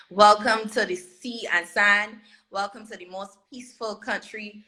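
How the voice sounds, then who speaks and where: A woman from Barbados with a Barbadian accent, a singer answering interview questions in a relaxed way, giving short replies and laughing easily. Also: mid-range voice, unhurried, low-quality recording.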